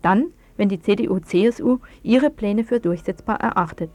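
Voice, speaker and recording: A woman's voice: mid-range voice, moderate pace, mellow, no room sound. A woman presenting on the radio, reading a scripted news report.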